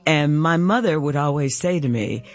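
A woman, telling stories and urging listeners to give during a radio fundraiser. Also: normal speed. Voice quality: low contralto voice. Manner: warm storytelling, laughs often, urges listeners to give. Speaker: guest co-host of a radio fundraiser. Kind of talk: casual radio chat.

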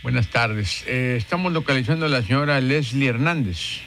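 A man's voice: mid-range voice, expressive, moderate pace, a bit bright, slight background noise. A man posing as a pushy lawyer on a phone call, formal and insistent but stumbling over his words. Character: pushy middle-aged male lawyer on a call. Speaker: prank caller.

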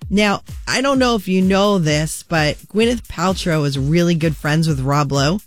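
A woman's voice: lowish voice, unhurried, soft background music. A woman co-hosting a radio morning show, chatting and teasing through entertainment news in a studio. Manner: chatty, teasing, jokes around. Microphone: studio microphone.

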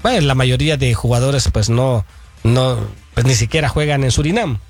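A man's voice: mid-range voice, normal speed. A man co-hosting a sports talk show, reading viewer messages aloud and agreeing often with his partner. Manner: agreeable, frequent back-channel "ujú".

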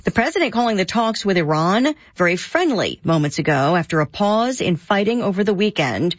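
A woman reading radio news headlines in a crisp, measured way. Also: on the low side, no room sound, dull audio.

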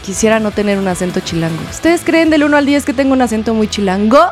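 A woman's voice: on the high side, lively intonation, music in the background. Playful Mexico City woman radio host. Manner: playful, teasing, quick to laugh. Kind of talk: morning show banter and news reading.

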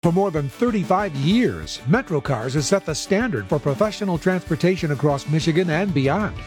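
A man's voice: fairly high pitch, expressive, normal speed, darkish tone, faint music behind. A man announcer reading a scripted radio commercial in a polished, corporate tone.

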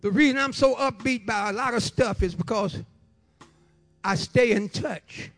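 A man in his seventies giving a fervent preaching testimony, repeating phrases rhythmically and breaking into shouts and half-sung lines. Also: very high-pitched, unhurried, dry recording. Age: 70s.

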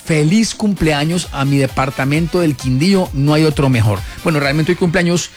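A man's voice: a little high, moderate pace, soft background music. A Colombian man hosting a morning radio show, chatting with listeners in a playful, colloquial, exclamatory way.

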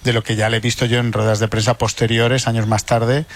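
A man's voice: mid-range voice, little pitch movement, a little fast. A man from giving his view casually and a little hesitantly on a sports radio panel. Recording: faint ambient noise.

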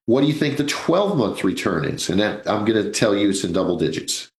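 A man in his sixties with a low voice hosts a live financial radio show. He talks in a chatty, jokey way and keeps turning to his listeners as folks. The studio sound is roomy. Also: very animated, brisk. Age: 60s. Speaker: radio host, financial advisor.

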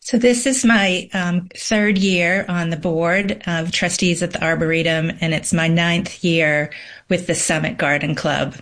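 A woman's voice: lowish voice, fairly even intonation, a bit bright. Thoughtful middle-aged woman from Massachusetts. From Massachusetts, USA. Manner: thoughtful, pauses with ums. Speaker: panel guest, garden club board member. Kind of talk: reflective conversational answers.

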